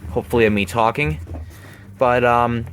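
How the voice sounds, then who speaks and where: A man giving a casual hands-on demonstration in a halting way, often repeating phrases, recorded at home on a handheld camera. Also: mid-range voice, a bit bright, slight background noise. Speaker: video maker showing a gadget. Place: carpeted room at home. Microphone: handheld camera mic.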